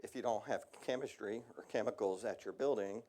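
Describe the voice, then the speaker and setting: A man's voice: lowish voice, brisk, warm tone. A middle-aged man giving a casual technical seminar talk on industrial radiography, with a slightly worn voice and frequent ums. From United States.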